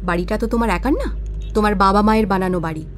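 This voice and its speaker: Actress as stern, scornful ex-wife. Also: female voice, medium pitch, fast talker, natural voice. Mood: scornful, reproachful. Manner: cutting, commanding, reproachful. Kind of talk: audio drama acting. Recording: vehicle noise behind the voice. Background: car ride ambience.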